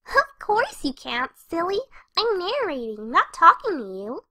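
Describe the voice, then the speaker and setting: A hyperactive young female cartoon pony, extremely high and very animated. She chatters cheerfully and breezily to the listener, scatterbrained and party-loving, in a dry, close recording. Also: unhurried, no echo, exaggerated voice. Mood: chirpy, breezy. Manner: bubbly, chatty, breezy narrating straight to the listener. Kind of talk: fan fiction audio reading in cartoon character.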